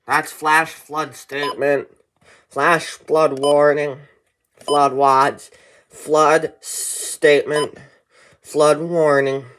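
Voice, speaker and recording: A man's voice: on the high side, flat delivery, drawn-out words, fairly bright tone, slight background noise. A man giving informal step-by-step instructions in a plain, repetitive explaining manner. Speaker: tutorial presenter. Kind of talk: informal step-by-step how-to narration.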